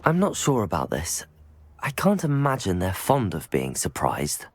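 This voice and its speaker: An actor voicing a cautious, loyal teenage student in a video game, polite and measured in a formal way. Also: low voice, huge pitch swings, heavily acted.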